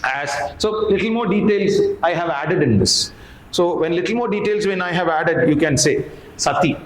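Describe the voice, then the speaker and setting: A man's voice: fairly high pitch, animated, normal speed. Indian-accented male lecturer in an echoey room. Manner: didactic, repeats key points and poses questions to listeners. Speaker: history teacher at an exam coaching academy. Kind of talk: explanatory exam lecture. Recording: echoey room with faint background noise.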